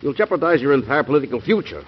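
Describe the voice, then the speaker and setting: A presidential adviser in an old radio drama, blunt and insistent. His husky voice moves quickly and anxiously, through a muffled vintage recording. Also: mid-range voice, very animated. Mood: urgent protest. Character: anxious, blunt presidential cabinet adviser, loyal but protesting. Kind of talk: 1940s radio drama acting.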